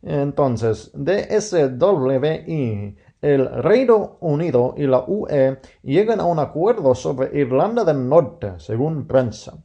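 Low gravelly man speaking Spanish as a second language. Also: big pitch swings, takes it slowly, few pauses, dark tone, dull audio. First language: not Spanish. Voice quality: gravelly, hesitant with many fillers and self-corrections. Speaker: podcast host. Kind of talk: casual monologue in a learner's Spanish.

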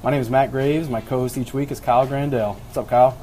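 A man's voice: mid-range voice, fairly even intonation, fast talker, mellow, a little hiss or hum. A man hosting a podcast in a casual, chatty style. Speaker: podcast host.

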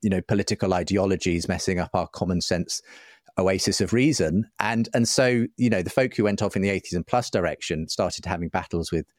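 A middle-aged man with a low voice speaks as an interview guest. He explains things reflectively and earnestly, in articulate, discursive sentences, and the sound is dry and close. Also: a little fast, no echo. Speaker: interview guest, writer and broadcaster.

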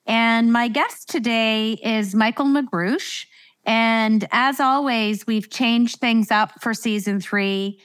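A woman's voice: fairly high pitch, slow pace, a bit bright, smooth. A woman speaking as a podcast host, delivering a prepared introduction and welcome.

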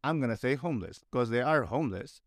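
Young filipino guy ranting on a podcast, blunt and animated, swears a lot, dry close mic. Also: mid-range voice, fast, no echo. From Philippines. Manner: blunt, animated, swears, mocks with put-on quotes. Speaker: podcast guest. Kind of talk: casual podcast chat.

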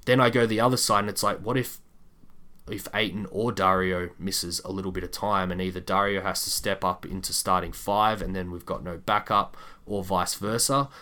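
A man's voice: sits low, fairly even intonation, normal speed, sharp tone. A man co-hosting a sports podcast, chatting casually about basketball with enthusiastic, opinionated delivery and casual swearing.

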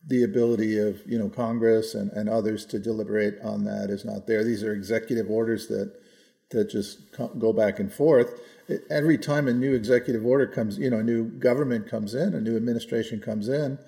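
Male, 60s, American. Creaky, relaxed voice, measured conversational delivery, podcast co-host who qualifies as he goes. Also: lowish voice. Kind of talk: reflective policy discussion, conversational.

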